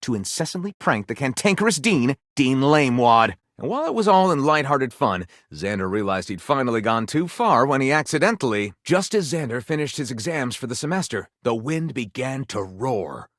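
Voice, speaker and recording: A man's voice: medium pitch, sing-song, normal speed, few pauses, a bit bright, dry recording, clean studio sound. A man playing a theatrical, salacious game storyteller, narrating absurd melodrama in a grandiose, suggestive manner. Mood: melodramatic, gleeful. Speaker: game character voice actor. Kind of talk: dramatic scripted narration.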